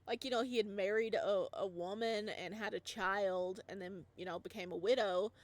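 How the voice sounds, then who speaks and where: A woman streamer playing a visual novel, reacting excitably and laughing often. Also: mid-range voice, normal speed. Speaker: game streamer.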